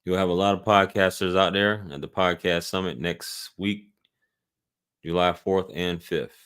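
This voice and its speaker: A man's voice: low voice, steady tone, a little slow, a bit bright, smooth. A man with a light Southern American accent, casually reading out viewer questions and answering them on a live stream. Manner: laid-back, reads out chat questions and answers them.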